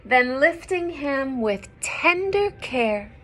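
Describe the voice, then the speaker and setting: A woman reading a picture book aloud to young children, expressive and gentle, often dropping into hushed asides to her listeners. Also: high voice, slow pace. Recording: some background noise.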